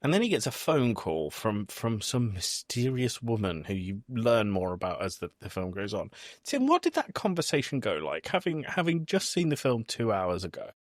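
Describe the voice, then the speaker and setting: A man hosts a casual film review podcast. His voice sits fairly low but moves around a lot in pitch, giving his storytelling an animated feel. The sound is dry, with no room echo. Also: moderate pace. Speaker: podcast host.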